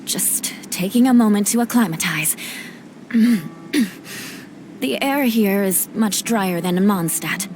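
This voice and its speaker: A woman's voice: medium pitch, moderate pace, hardly any pauses, noisy background, lightly acted. A woman playing a proud, dutiful noblewoman knight in a video game, formal and composed, with a touch of haughtiness. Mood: guarded. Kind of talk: animated game cutscene acting.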